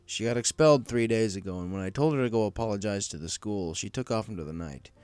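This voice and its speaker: A man's voice: on the low side, quick pace, a little mellow, clear, resonant tone, lightly acted. A man voicing a troubled, stubborn pegasus father, weary and conversational, in a story reading. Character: troubled, stubborn adult male pegasus father, factory worker. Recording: faint music under the voice.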